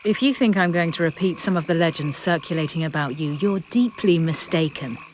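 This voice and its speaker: A woman voicing a brisk, haughty sorceress who speaks formally in a video game. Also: on the low side, a little hiss or hum, lightly acted. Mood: cool, businesslike. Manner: formal, aloof.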